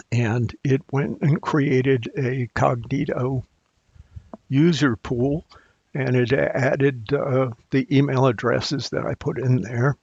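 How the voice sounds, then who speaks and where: A man with a gravelly, raspy voice walks through a software tutorial. He takes his time, thinking aloud and halting between phrases as a support presenter working off the cuff. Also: medium pitch, no room sound, highs cut off. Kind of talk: unscripted software screencast walkthrough.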